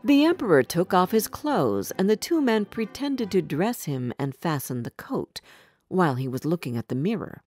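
A woman narrating a scripted fairy tale for children in an expressive, measured storytelling manner. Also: fairly low pitch, faint music behind.

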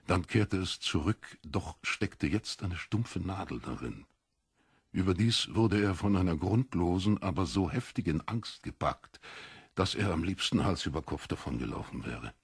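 A man narrating a literary audiobook in a deliberate, expressive, dramatic storytelling manner. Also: deep voice, creaky, breathy edge, no echo, studio quality.

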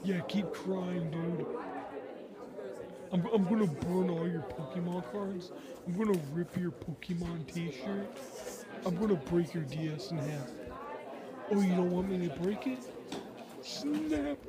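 A man playing a cocky, mocking high school jock bully in a taunting, slangy roleplay monologue. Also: unhurried, long pauses, crowd noise. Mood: cruel, gloating. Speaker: ASMR roleplay performer. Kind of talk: improvised ASMR roleplay monologue.

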